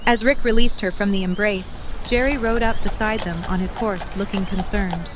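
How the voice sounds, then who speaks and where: A woman's voice: mid-range voice, moderate pace. A woman narrating a scripted audio drama story. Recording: clearly noisy nature ambience behind voice.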